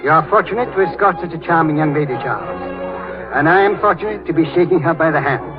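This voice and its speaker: An actor playing a shrewd, courtly older British statesman with dry wit, husky and theatrical, in 1930s radio drama style. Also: a little high, lively intonation, crowd noise, natural voice. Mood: courtly, charming. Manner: urbane, theatrical, wryly deliberate. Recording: muffled 1930s radio recording, faint music.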